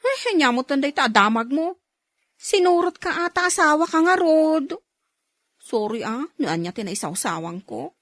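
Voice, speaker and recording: High-voiced, emotive young Ilocano wife played by a woman. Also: animated, normal speed, fairly bright tone, no echo, clean studio sound. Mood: testy. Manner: scolding, emotive. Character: nagging, quick-tempered young Ilocano wife, pregnant, devout. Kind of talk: amateur radio-style drama acting.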